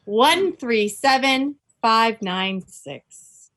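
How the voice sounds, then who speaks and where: A woman's voice: on the high side, lively intonation, drawn-out words, fairly bright tone. A woman chatting casually and reading out quiz answers as a club member on a video call.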